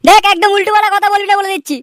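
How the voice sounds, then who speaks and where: Hot-headed cartoon loafer, squeaky, extremely fast, crude, blustering comedy. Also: flat delivery, a bit bright, no room sound, clean studio sound. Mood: quarrelsome. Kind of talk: Bengali comedy cartoon dubbing.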